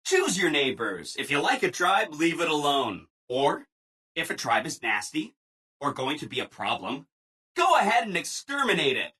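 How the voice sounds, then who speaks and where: Game robot assistant: ingratiating, relentlessly upbeat, lively swooping pitch, slightly husky edge. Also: male voice, normal speed, exaggerated voice. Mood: upbeat, explanatory. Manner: chipper, ingratiating, over-enthusiastic, singsong. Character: relentlessly cheerful, eager-to-please robot assistant. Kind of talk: video game character dialogue.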